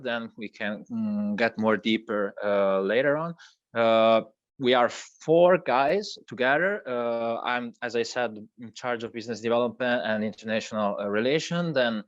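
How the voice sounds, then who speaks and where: A man whose first language is Italian, a project co-founder speaking in an online interview, pausing often with fillers and restarts. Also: mid-range voice, no echo, muffled recording. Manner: hesitant, many fillers and restarts. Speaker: crypto project co-founder guest.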